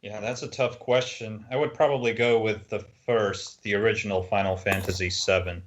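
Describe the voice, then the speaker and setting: Low-voiced man on a noisy mic. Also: fairly even intonation, normal speed, a bit bright, dull audio. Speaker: podcast panellist. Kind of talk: casual gaming podcast chat. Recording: noisy voice track with clicks.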